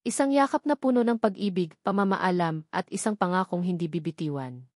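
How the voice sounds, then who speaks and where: A woman narrating a scripted drama in a measured, dramatic way. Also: fairly low pitch, no room sound, clean studio sound.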